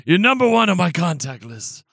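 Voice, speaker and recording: A man's voice: high-pitched, big pitch swings, normal speed, creaky, a bit bright, dry recording, clean studio sound, exaggerated voice. A man playing a grumpy, stubborn older husband after surgery, curt and grumbling in a dramatic reading.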